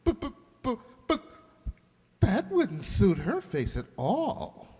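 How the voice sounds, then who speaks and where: A woman's voice: mid-range voice, wildly swooping pitch, takes it slowly, mellow, husky, big-room sound, full character voice. A woman playing a fretful, hesitant beautician with a stammering, theatrical dismay in old-time radio comedy style. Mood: dismayed, guilty. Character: fretful beautician Antoine, reluctantly disloyal.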